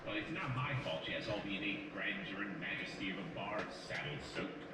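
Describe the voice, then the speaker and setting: A theatrical mischief spirit, sarcastic and grand, really echoey and far off. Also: male voice, sits low, animated, a little fast. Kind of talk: cartoon-style fan audio drama. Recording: very echoey, distant, noisy speaker playback.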